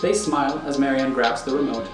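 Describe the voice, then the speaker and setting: A man's voice: mid-range voice, steady tone, fairly quick. A man narrating screenplay directions in a matter-of-fact, descriptive way. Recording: echoey, prominent music underneath.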